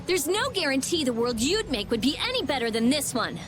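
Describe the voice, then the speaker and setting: A sharp-tongued girl genius in a game. Her extremely high voice swings widely and stays blunt and matter-of-fact, with music plainly behind it. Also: big pitch swings, fairly quick. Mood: stern. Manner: brusque, matter-of-fact, skeptical. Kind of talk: video game cutscene acting.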